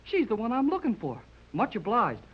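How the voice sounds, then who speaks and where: A man's voice: very high voice, wildly swooping pitch, moderate pace, breathy edge, full character voice. A young man playing an eager, cheeky, indignant kid with brash slangy talk in a 1930s radio serial. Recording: dull lo-fi 1930s radio recording.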